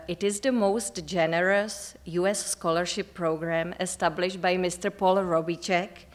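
A woman in her thirties from the Czech Republic with a Czech accent, giving a formal keynote introduction on a lecture stage. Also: medium pitch. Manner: formal, read from a script. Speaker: conference speaker.

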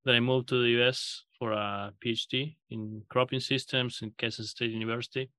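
A man from Argentina, a guest researcher, speaking haltingly with many fillers in a podcast interview. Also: mid-range voice, flat delivery, sharp tone, clean tone, no echo.

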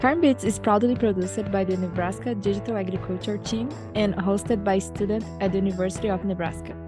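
A woman's voice: mid-range voice, fairly even intonation, a little fast. Upbeat woman host over intro music. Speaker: podcast co-host. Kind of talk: scripted podcast intro.

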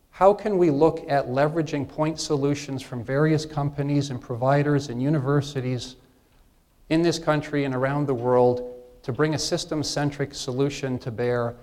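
A man's voice: medium pitch, a little mellow. A man with a mid-range voice gives earnest prepared remarks to a panel audience, with an occasional "uh.". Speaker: panel presenter.

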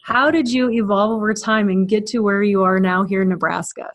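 A woman's voice: mid-range voice, fairly even intonation, brisk. Woman hosting an interview podcast. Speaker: podcast host. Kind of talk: interview questions.

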